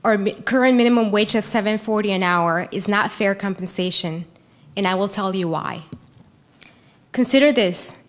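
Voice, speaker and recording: A woman's voice: medium pitch, normal speed. Earnest woman debater from Peru. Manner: earnest, emphatic, repeating key phrases. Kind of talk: prepared debate speech. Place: university lecture hall.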